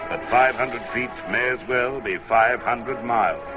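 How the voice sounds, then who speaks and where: A man narrating an old-time radio adventure from a script in a measured, theatrical manner. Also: medium pitch. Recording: dull vintage radio recording. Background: music.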